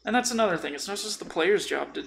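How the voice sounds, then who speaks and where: A man talks in a loose, informal ramble, as in a casual hobby podcast. His voice sits a little high for a man and has a lot of gravelly fry. A faint noise runs behind him. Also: animated, fast, fairly bright tone. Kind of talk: casual chat about tabletop role-playing.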